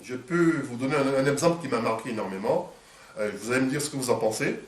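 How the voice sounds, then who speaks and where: A man interviewing, halting with many fillers and restarts, a little stuffed up from a cold. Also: medium pitch. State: congested from a cold. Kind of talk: hesitant conversational interview. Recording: echoey room, distant mic.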